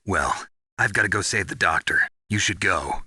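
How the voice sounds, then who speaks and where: Video game lead: hard-bitten veteran commando, low, husky, forceful, terse and deadpan. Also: male voice, normal speed, dry recording, studio quality, exaggerated voice. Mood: grim, businesslike. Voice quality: gravelly, husky. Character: gruff, world-weary veteran male special forces soldier. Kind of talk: dramatic video game voice acting.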